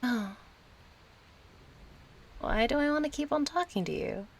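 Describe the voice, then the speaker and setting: A woman's voice: high-pitched, measured pace. A woman acting a kind, chatty young friend in an intimate audio roleplay, casual, playful and a little hesitant. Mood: teasing, curious. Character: kind, chatty young female friend, gently yearning for closeness.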